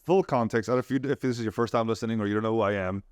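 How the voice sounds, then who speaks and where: A young American man from California, a podcast co-host, telling a story in casual chat, lively and laughing often. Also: fairly low pitch, rapid-fire, clean tone, dry recording, clean studio sound. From Oakland, California. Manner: animated, laughs often, acts out quoted people.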